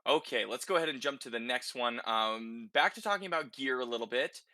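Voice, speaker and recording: A man's voice: mid-range voice, fairly quick, pushed and bright, no echo. A man hosting a casual, conversational podcast discussion about video games, often checking in with short tag questions. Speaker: podcast host.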